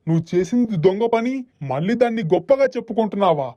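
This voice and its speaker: Man as a high-pitched, stern policeman. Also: quick pace, warm tone, no echo, lo-fi sound, close to an everyday voice. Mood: stern anger. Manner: stern, scolding. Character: stern, righteous village policeman. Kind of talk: animated moral story dialogue.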